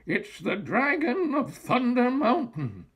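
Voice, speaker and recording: A man's voice: extremely high pitch, wildly swooping pitch, slow pace, fairly bright tone, slightly rough, pitched above the performer's own voice, heavily acted. A man voicing a feisty, indignant old witch in a storybook, exclaiming and drawing out words. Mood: startled, indignant.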